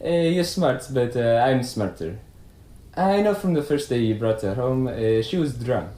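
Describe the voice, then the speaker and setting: A man's voice: medium pitch, animated, measured pace, dark tone, close to an everyday voice. A man playing a defensive, emotional, melodramatic suspect in a puppet show murder mystery. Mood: bitter, accusing. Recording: roomy echo with faint background noise.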